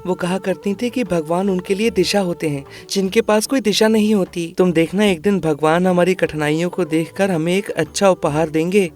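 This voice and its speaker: A woman voicing a caring, poor boy, a gentle and instructive elder brother in a children's moral cartoon. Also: fairly low pitch, normal speed, few pauses, faint music behind, lightly acted. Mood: reassuring.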